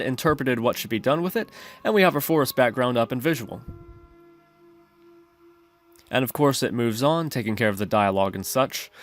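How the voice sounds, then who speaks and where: A man with a slightly low-to-middling, ordinary speaking pitch hosts a programming tutorial. He narrates step by step in an explanatory way, talking through his reasoning. Faint music plays behind his voice.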